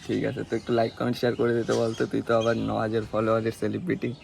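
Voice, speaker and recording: Fast-talking, teasing young man. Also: on the low side, monotone, vocal fry, a little mellow, a little hiss or hum. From West Bengal, India. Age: young adult. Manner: teasing, playful. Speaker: prank caller, vlogger. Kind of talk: casual teasing phone chat.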